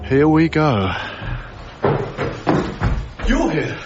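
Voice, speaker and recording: An actor playing a gentle, hesitant, earnest young male lodger in a naturalistic radio drama. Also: on the high side, huge pitch swings, drawn-out words, dull audio, over loud music, heavily acted. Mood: excited, tense. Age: young adult.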